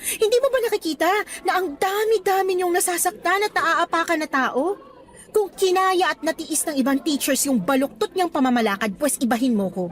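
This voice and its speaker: Firm, high-voiced exasperated teacher. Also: female voice, normal speed. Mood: indignant. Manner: stern lecturing, code-switching into English. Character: firm, exasperated young female teacher confronting ex-student. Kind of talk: Tagalog radio drama acting.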